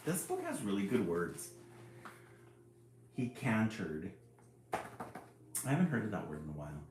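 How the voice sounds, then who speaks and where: A man hosting a casual, expressive storybook read-aloud and adding his own chatty asides. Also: on the low side, unhurried. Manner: chuckling, expressive. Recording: roomy echo, some background noise.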